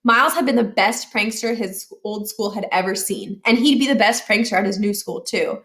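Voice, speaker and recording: A woman reading a children's novel aloud as an expressive storyteller. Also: medium pitch, normal speed. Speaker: storybook reader.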